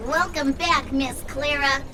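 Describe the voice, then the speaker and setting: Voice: high-pitched, steady tone, takes it slowly, noisy background. An actor voicing a polite robot gatekeeper in a game, with formal, mechanical phrasing. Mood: formal, impassive. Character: polite robot gatekeeper announcing access commands. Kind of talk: scripted game voice acting. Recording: music behind the voice. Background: game music.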